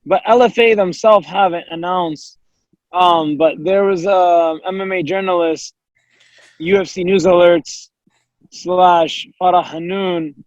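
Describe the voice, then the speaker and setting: High-voiced young man, dry dull sound. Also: steady tone, measured pace, no room sound. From United States. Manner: earnest, talks freely in long runs with fillers and self-quotes. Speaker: professional MMA fighter, guest. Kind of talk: casual interview answers.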